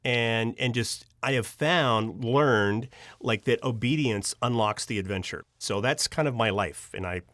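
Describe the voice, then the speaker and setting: A man's voice: medium pitch, unhurried, fairly bright tone, no echo. A man from Pennsylvania tells personal stories as a podcast guest. He rambles expressively and slips into bits of dialogue, in a mid-range voice with a dry studio sound. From Pennsylvania, United States. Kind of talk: casual personal storytelling.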